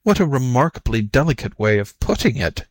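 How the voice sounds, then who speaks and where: A man's voice: mid-range voice, very animated, brisk, no room sound. A man voicing a wretched, frightened Brahmin from a storybook, timid and politely pleading. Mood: anxious, pleading. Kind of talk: storybook character voice.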